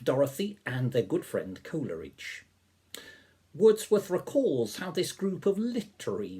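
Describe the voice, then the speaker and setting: A man in his seventies reading poetry aloud in a deliberate, expressive way, with a soft-edged, slightly husky voice. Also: medium pitch. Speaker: poetry reader, reverend. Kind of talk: measured poetry reading with introduction. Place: small living room or study, indoors.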